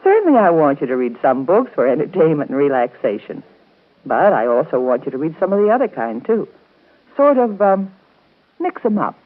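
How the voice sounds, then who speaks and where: An actress in a 1930s radio soap opera playing a patient, motherly guardian, gentle, earnest and coaxing. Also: low voice, sing-song, normal speed, phone-quality sound. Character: warm, patient motherly guardian woman of twins. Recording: band-limited scratchy 1930s radio broadcast.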